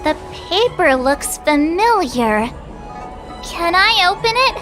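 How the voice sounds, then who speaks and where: An actress playing a perky, curious young girl in a video game, with an inquisitive, expressive manner. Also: very high voice, slow pace, exaggerated voice. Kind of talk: animated video game voice acting. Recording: music under the voice.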